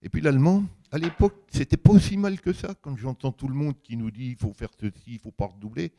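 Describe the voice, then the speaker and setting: A man's voice: fairly quick, slight background noise. An older man with a big voice giving an anecdotal public talk, colloquial and emphatic, acting out bits of dialogue as he tells it. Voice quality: big deep voice. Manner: animated storytelling, colloquial, emphatic, acts out reported dialogue.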